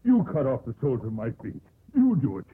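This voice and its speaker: An actor playing a frightened, pleading giant of a ship's cook in a 1950s radio drama. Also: male voice, mid-range voice, wildly swooping pitch, a little fast. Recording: muffled, hissy 1950s radio broadcast.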